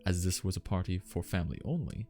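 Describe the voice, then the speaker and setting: A man playing a genteel, devoted butler, telling of household staff in a measured, formal, gentle ASMR audiobook manner. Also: low-pitched, lively intonation, faint music behind. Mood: admiring, composed.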